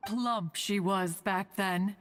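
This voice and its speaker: A woman's voice: medium pitch, takes it slowly, lightly acted. A woman voicing an ancient, dignified, doting immortal matron in a video game, with stately, archaic, self-assured formality. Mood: fondly reminiscing.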